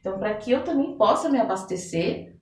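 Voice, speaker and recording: A woman's voice: mid-range voice, expressive, brisk, a little mellow, slight background noise. A Brazilian woman speaking as an interviewed guest, explaining things conversationally and repeating phrases for emphasis. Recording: roomy echo, distant microphone.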